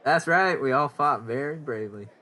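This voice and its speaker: Bright-toned, earnest war veteran played by a man. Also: medium pitch, lively intonation, normal speed. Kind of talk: 1940s radio acting.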